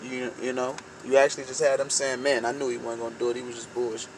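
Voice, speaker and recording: A man's voice: mid-range voice, little pitch movement, fast. A young American man giving an informal motivational monologue to camera, with emphatic, repetitive phrasing. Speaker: vlogger giving motivational talk. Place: inside a parked car at night. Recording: clearly noisy vehicle ambience.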